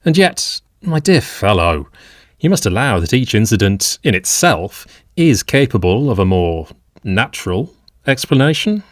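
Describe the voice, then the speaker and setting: An actor playing a level-headed, sceptical Victorian gentleman and avuncular friend in an audio drama, reasoning in formal period speech. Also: lowish voice, very animated, measured pace, dry recording, clean studio sound.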